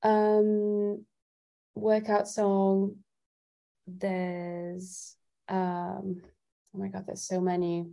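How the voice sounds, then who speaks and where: Slow, mellow-voiced woman thinking aloud. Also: mid-range voice, completely flat delivery, gravelly. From Italy. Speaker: guest singer-songwriter. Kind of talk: casual interview chat.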